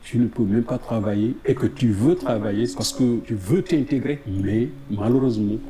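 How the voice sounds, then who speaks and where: A man's voice: mid-range voice, moderate pace, a crowd in the background. A man from Mauritania speaking earnestly and frankly in an interview as a migrant advocate. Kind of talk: spontaneous interview testimony.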